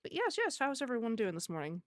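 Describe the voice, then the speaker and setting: A soft-voiced woman hosting a livestream, chatting casually with viewers and muttering asides to herself. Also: fairly high pitch, wildly swooping pitch, fast talker, dry recording. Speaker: livestream host.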